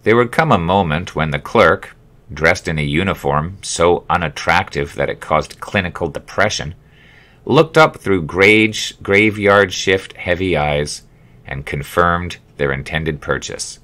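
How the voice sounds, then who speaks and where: Bright low-voiced man reading a memoir. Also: lively intonation, a little slow. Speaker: author reading own memoir. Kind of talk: scripted narration, audiobook memoir reading.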